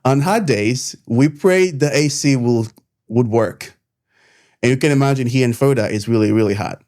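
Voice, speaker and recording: A middle-aged man with a Haitian accent, telling his own life story to camera in an earnest, measured way, pausing between phrases. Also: medium pitch, darkish tone. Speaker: nurse turned content creator.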